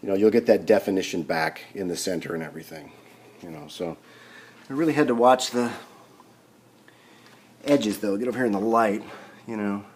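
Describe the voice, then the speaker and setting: A man thinking aloud in an unhurried way as he gives a casual hands-on tutorial at a workbench. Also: lowish voice, darkish tone. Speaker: hobbyist demonstrating a restoration. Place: indoor home workshop. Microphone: camera on the workbench, speaker off-screen. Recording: noisy camera audio, background noise.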